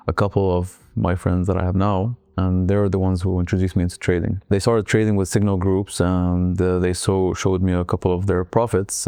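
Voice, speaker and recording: A young man from Algeria, speaking English as a second language, tells his life story in a relaxed, reflective way and often hedges with "let's say". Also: low-pitched, smooth, dry recording. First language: not English. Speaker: interviewee.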